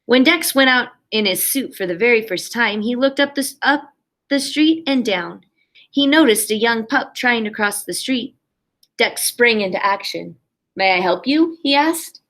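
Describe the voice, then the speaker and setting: A woman's voice: fairly high pitch, lively intonation, moderate pace, a bit bright. A woman kindergarten teacher reading a picture book aloud expressively for young children, with simple explanatory asides.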